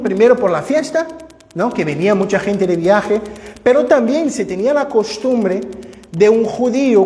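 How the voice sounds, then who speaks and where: A pastor whose first language is Portuguese gives an expository sermon in a church, teaching with conversational asides and personal examples. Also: male voice, high voice, darkish tone. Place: church sanctuary. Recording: echoey church hall with long reverb.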